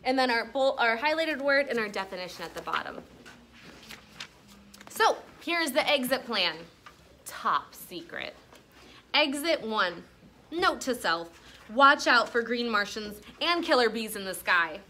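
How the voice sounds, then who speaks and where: A woman with a bright, fairly high voice reads aloud as a schoolteacher. She is expressive and instructional, stopping to explain and check in with questions. There is faint noise behind her. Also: a little slow. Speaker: elementary school teacher. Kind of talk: classroom read-aloud with teaching commentary.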